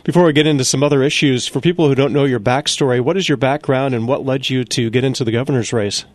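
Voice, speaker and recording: A male interviewer asking a guest about his background in a brisk, direct way. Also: mid-range voice, no room sound. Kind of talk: interview question.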